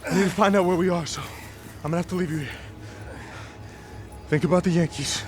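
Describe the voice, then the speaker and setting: A man's voice: high voice, fairly even intonation, fairly quick, close to an everyday voice. A man playing a hot-tempered but loyal military medic in a video game, emphatic and scolding. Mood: gruff, brisk. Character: hot-tempered male soldier medic, loyal, gruff, exasperated, urgent. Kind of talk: dramatic video game acting.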